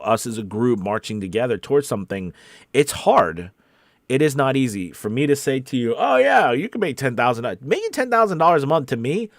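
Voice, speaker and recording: A man with a fairly low voice hosts a casual question and answer talk as a coach. He speaks candidly and encouragingly, often repeating a point for emphasis. The sound is close and dry. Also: no echo. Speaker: voice actor and coach hosting a live Q&A. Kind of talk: casual, off-the-cuff advice talk.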